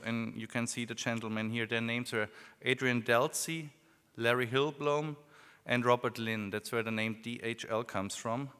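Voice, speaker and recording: Man, german accent, bright crisp voice, conference talk, big reverberant hall. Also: mid-range voice. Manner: measured, with fillers. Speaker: conference speaker. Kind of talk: business presentation.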